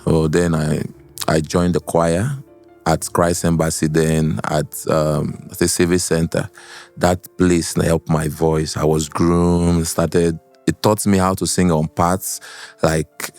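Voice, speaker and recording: A Nigerian man with a bass voice, telling his story casually as a guest in a studio interview and slipping into Pidgin. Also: little pitch movement, slow, a little mellow, soft background music. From Port Harcourt, Nigeria. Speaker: guest musician, singer. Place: podcast studio.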